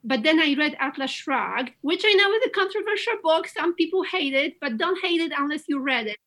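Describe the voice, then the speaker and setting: A woman's voice: high voice, expressive, fairly quick, sharp tone. A woman from Poland with a Polish accent, a painter talking as an interview guest, enthusiastic and gushing, often restarting her sentences. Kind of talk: casual interview chat.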